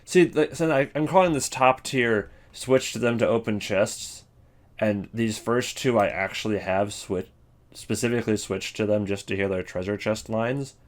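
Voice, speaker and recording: A man's voice: fairly low pitch, moderate pace. A man giving casual, off-the-cuff commentary as the host of a ranking video.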